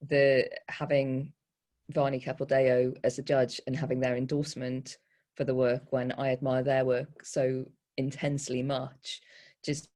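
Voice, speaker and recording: A poet and panel guest giving thoughtful, hesitant answers, often self-correcting and gently wry. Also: mid-range voice.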